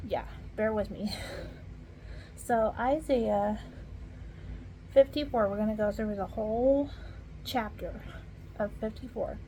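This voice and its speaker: A woman reading scripture aloud in an informal way, halting at hard words and talking softly to her viewers between verses. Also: fairly high pitch, slight background noise, low-quality recording. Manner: slow, halting, stumbles over archaic words. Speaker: Bible reader.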